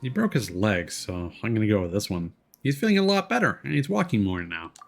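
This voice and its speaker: A man's voice: on the low side, very animated, fairly quick, creaky. A man streaming a video game, casually commenting and reading dialogue aloud. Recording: game music behind the voice.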